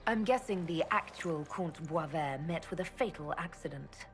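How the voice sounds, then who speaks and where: An actress playing a composed, diplomatic leader in a video game, speaking directly and in a measured way. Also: female voice, low-pitched, lightly acted. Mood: guarded. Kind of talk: video game cutscene acting.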